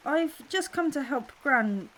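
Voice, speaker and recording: A woman's voice: high-pitched, animated, takes it slowly, a bit bright, slight background noise, full character voice. A woman playing a polite, friendly young granddaughter in an audio drama, with rain behind her.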